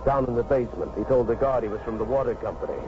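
A man's voice: mid-range voice, lively intonation, rapid-fire, lightly acted. A man acting as an American narrator of a 1950s radio drama, confiding and dramatic, building suspense. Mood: suspenseful. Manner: dramatic, present-tense, confiding. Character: suspense-building male storyteller. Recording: dull vintage radio recording. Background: dramatic music.

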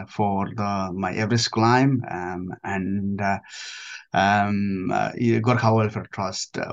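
A man in his forties from Nepal with a Nepali accent, answering interview questions haltingly and repeating himself as he searches for words. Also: on the low side, highs cut off. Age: 40s. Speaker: interview guest, adventurer and army veteran.

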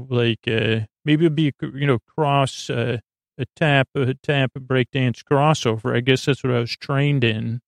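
A man hosting a bedtime podcast in a rambling monologue, halting and often losing his thread, silly and reassuring. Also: medium pitch, vocal fry, dry recording. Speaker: podcast host.

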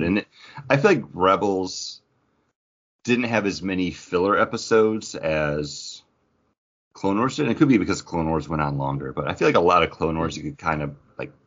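Deep-voiced man chatting animatedly on a podcast. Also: expressive, moderate pace, a bit bright, muffled recording. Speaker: podcast co-host. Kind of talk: casual chat.

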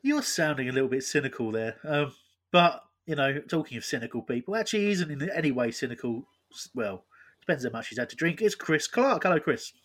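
A man hosts a casual football podcast at a middle pitch. He talks loosely, with jokes and teasing asides for his panel. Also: sing-song, a little fast, a bit bright. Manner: joking, teasing. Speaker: podcast host.

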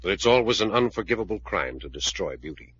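An actor playing a hard-headed, commanding adventurer with a firm, clipped delivery in 1940s radio drama style. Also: male voice, lowish voice, sing-song, fast talker, a bit bright, slightly rough, exaggerated voice. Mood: persuasive, earnest. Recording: muffled old-time radio recording.

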